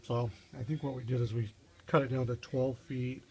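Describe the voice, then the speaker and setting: A man talking through a casual, unscripted DIY car how-to as a vlogger, halting and rambling as he thinks aloud. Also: medium pitch, steady tone.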